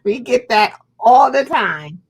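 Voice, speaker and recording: A woman's voice: fairly high pitch, animated, slow, slightly rough. A woman from Alabama with an Alabama accent, hosting a casual chat and teasing her guest with lots of laughter. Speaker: host.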